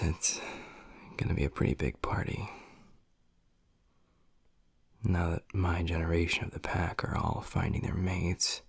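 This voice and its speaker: A man playing a tender, gruff young werewolf boyfriend in an intimate audio roleplay, speaking in a hushed, husky, earnest way close to the mic. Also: dry recording, heavily acted. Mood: casual, explaining. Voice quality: low, gravelly, husky, breathy. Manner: hushed, slow, earnest, halting. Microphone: close, dry microphone.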